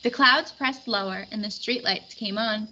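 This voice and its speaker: A woman reading a children's picture book aloud with expressive storytelling. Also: medium pitch, moderate pace, lo-fi sound, slight background noise. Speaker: storybook reader.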